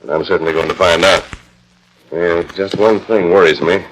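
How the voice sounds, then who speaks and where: A man playing a resolute, fair-minded western hero in a 1940s radio drama, with measured, authoritative, formal diction. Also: deep voice, steady tone, fairly bright tone. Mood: resolute, serious. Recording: noisy 1941 radio transcription disc.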